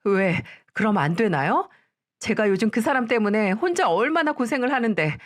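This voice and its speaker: A woman's voice: on the high side, big pitch swings, moderate pace, pitched above the performer's own voice. A woman voicing a cold, contemptuous daughter-in-law who talks back curtly and sharply in a story read aloud. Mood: scornful. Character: cold, scornful woman in her middle years, the daughter-in-law.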